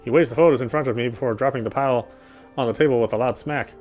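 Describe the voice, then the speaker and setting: A man's voice: medium pitch, fast talker, music in the background. Male narrator reading a horror visual novel. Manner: steady reading with frequent restarts of words.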